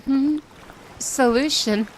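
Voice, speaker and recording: A woman's voice: high-pitched, slow pace, slight background noise, poor audio. A woman playing a proud, easily flustered and annoyed young mermaid princess in a roleplay audio drama, pouty and prone to stammering. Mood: doubtful.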